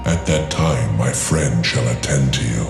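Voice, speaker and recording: Old prophetic dragon: bottomless creaky bass, slow, solemn, echoing over music. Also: male voice, full character voice. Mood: solemn, weary. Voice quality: gravelly. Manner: archaic, grave, deliberate speech. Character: ancient weary prophetic dragon, male, fatalistic and solemn. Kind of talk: fantasy video game voice acting. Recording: cavernous echo with music underneath.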